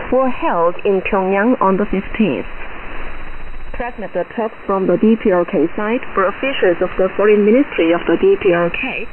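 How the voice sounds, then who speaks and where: A woman reads a formal, solemn, measured state news bulletin over shortwave radio. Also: medium pitch. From North Korea. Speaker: newsreader. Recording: noisy, narrow-band AM shortwave radio reception.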